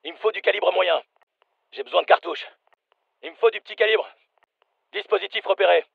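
An actor playing a brisk special forces soldier, giving clipped, commanding combat callouts for a dubbed military game. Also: male voice, sits high, creaky, husky, no room sound, phone-quality sound, heavily acted. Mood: brisk, focused.

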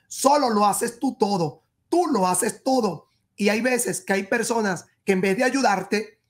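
A woman's voice: medium pitch, measured pace. A woman giving a tarot reading straight to the listener, emphatic, repeating and rephrasing in short phrases. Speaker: tarot reader and horoscope host. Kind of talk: spoken tarot reading addressed to the viewer.

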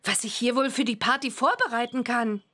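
A woman's voice: on the high side, a little fast, sharp tone. A woman voicing a cheerful, eager young witch girl in a cartoon game, exclamatory and wide-eyed. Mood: delighted.

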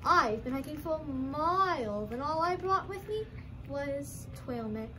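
Young woman playing polite hiker puppet, bright, very high, slow, faint background noise. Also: expressive. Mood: polite, hesitant. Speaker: student puppeteer. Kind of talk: scripted puppet show acting.

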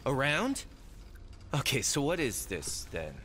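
An actor playing a wary, bewildered young space warrior in a video game cutscene, hesitant and questioning. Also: male voice, mid-range voice, wildly swooping pitch, slow pace, a bit bright, big-room sound, slight background noise, heavily acted. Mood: confused, wary.

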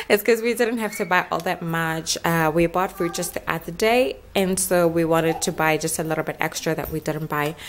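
A young South African woman chatting informally in a casual home vlog, with lots of conversational asides. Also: fairly low pitch. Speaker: family vlogger, mother. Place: indoors at home, kitchen and table. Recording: clearly noisy home recording with clicks.

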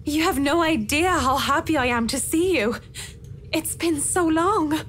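Grieving grown heroine, acted by a woman, visual novel. Slow, halting delivery, earnest and shaken, faint noise. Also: sits high, fairly bright tone, pitched above the performer's own voice. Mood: wistful. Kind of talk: visual novel character read-aloud.

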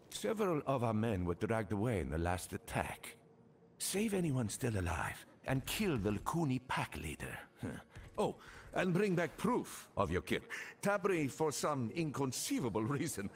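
An actor playing a chatty, sly, jovial young desert rogue in a video game, with theatrical banter. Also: male voice, medium pitch, big pitch swings, a little slow, a little hiss or hum. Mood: amused, scheming. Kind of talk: video game character dialogue.

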